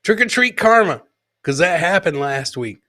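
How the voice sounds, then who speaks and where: A man's voice: on the high side, animated, moderate pace, pushed and bright. A man hosting a comedy podcast, giving joking commentary on clips, repeating his phrases for effect and mimicking the people he talks about. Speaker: host of a live comedy podcast.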